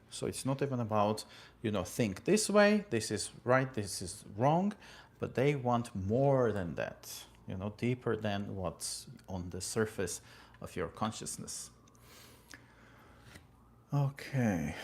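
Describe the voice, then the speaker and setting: A man's voice: lowish voice, creaky. A man from Armenia hosting a casual livestream from California. He thanks viewers by name and talks about philosophy with many fillers and asides. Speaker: livestream host. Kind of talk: casual livestream talk reading viewer comments. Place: home in California.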